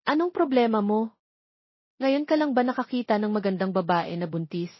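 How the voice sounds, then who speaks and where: A woman's voice: medium pitch, normal speed, no echo, muffled recording, close to an everyday voice. A woman narrator voicing an emotional young pregnant woman in a dramatized love story. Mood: annoyed, snappy. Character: pregnant young woman, emotional, apologetic, sharp-tongued when annoyed.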